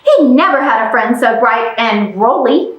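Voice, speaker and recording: Female children's storyteller, high-pitched and highly animated, playful questioning delivery, roomy sound. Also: unhurried, dull audio. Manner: exaggerated, playful, addresses young children with questions. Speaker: library storytime presenter. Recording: roomy, echoing room sound.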